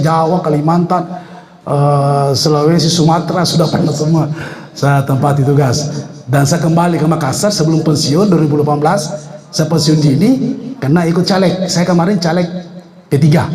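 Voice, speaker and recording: A man's voice: fairly high pitch, darkish tone. A man in his sixties, a retired health official, gives an informal, joking speech at a meeting, with frequent hesitations. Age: 60s. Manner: chatty and joking, with many hesitations. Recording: echoey room.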